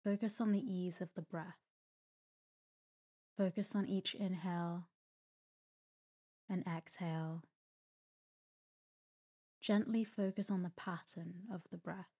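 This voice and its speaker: Slow, breathy woman guiding sleep meditation. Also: lowish voice. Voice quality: soft, breathy, near-whisper at times. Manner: calm, soothing, gentle, with long pauses. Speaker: meditation guide, podcast host. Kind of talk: guided sleep meditation, slow scripted narration.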